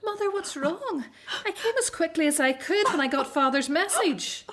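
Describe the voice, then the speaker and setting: An actress playing a troubled, despairing grown daughter of Hebrew slaves in a radio drama. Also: female voice, extremely high pitch, animated, moderate pace, a bit bright. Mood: alarmed.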